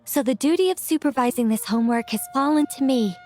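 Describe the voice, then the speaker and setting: A woman's voice: high voice, fast, dark tone, lightly acted. An actress playing a whimsical, composed female mage in a video game, with a leisurely, musing and playfully evasive manner. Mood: calm, explanatory. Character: whimsical, composed female mage, once an angel, young-sounding. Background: background music.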